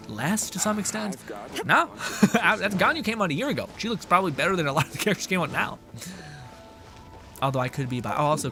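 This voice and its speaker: Rambling, excitable male game streamer. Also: on the high side. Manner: rambling, excitable, heavy swearing, many fillers. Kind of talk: casual gaming stream commentary.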